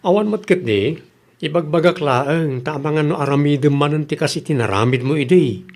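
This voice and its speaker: Actor as nagging Ilocano husband, higher-pitched voice. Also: male voice, moderate pace, a little mellow. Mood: scolding. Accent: Ilocano Filipino. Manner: questioning, admonishing. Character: nagging, cautious husband in a rural household. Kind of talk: radio comedy drama acting.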